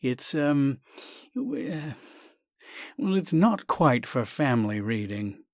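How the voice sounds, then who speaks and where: A man's voice: medium pitch, sing-song, takes it slowly, no room sound, clean studio sound, voice pushed up. A man voicing a hesitant, embarrassed, proper family-man doctor in a comic story, fussy and hemming and hawing. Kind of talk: acted reading of a comic short story.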